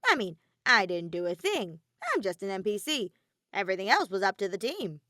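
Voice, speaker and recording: A woman's voice: medium pitch, sing-song, fairly quick, sharp tone, no room sound, clean studio sound. A woman voicing a mischievous, flippant robotic bear villain in a dramatic audiobook reading, theatrical, grumbling and cartoonish. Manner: matter-of-fact, theatrical, grumbling.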